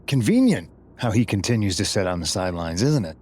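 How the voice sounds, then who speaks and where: A man playing a wry, grudge-holding veteran pro wrestler in a video game cutscene, speaking in a measured way. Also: on the low side, big pitch swings, darkish tone, breathy edge. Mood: wry, resentful.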